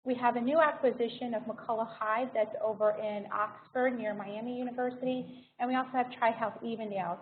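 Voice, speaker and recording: An American woman speaks as a guest presenter in an informal talk. Her voice is fairly high. The sound is thin and band-limited like a phone line, with faint noise underneath. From United States. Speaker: guest speaker, healthcare diversity director.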